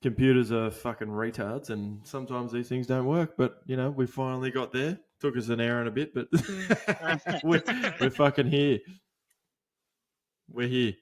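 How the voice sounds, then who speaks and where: A young Australian man hosting a podcast, talking casually with lots of swearing, fillers and restarts. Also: medium pitch. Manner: laid-back, swears a lot, halting with fillers. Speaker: podcast host.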